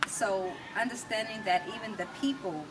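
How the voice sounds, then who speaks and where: A woman's voice: medium pitch, normal speed. An American woman from California speaking conversationally as an interview guest about her own views and experience. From California, United States. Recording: distant mic with clear background noise.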